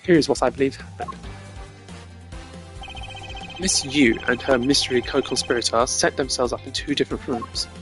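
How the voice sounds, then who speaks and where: A composed video game prosecutor acted out by a man lays out his case formally and deliberately. His voice is mid-pitched, with music playing underneath. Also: steady tone, natural voice. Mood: explaining. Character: composed, cerebral male prosecutor arguing a case.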